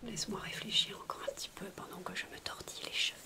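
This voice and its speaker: A woman playing a zany, playful babysitter who coaxes a young child in a soft-spoken, whispery, silly ASMR roleplay. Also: medium pitch, fairly even intonation, quick pace, voice pushed down, lightly acted. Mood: playful coaxing. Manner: whispery, coaxing, silly, chatty. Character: zany playful babysitter entertaining a six-year-old child.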